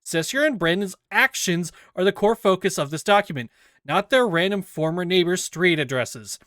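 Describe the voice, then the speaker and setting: A man reading a written document aloud in a deliberate, scripted narration style. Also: high-pitched, expressive, fairly bright tone, no echo, clean studio sound. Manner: deliberate, spells out quote marks aloud. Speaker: reader of an investigation document.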